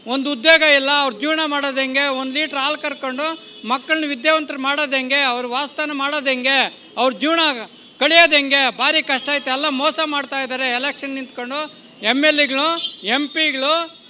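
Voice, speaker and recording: A man's voice: extremely high pitch, pushed and bright, slight background noise. A man, pleading and impassioned as he repeats his complaints in a street interview. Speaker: local resident pleading for farmers and the poor. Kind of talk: street vox pop complaint.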